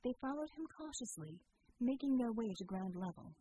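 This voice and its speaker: A woman's voice: fairly high pitch, lively intonation, moderate pace, dark tone, a little hiss or hum, poor audio. A woman reading scripted fiction as an audiobook narrator.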